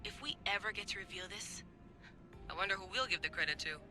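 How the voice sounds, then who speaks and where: A woman playing a confident, determined young assassin researcher in a game cutscene, brisk and casual. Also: medium pitch, over music, natural voice. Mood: wry, musing. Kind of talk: video game cutscene acting.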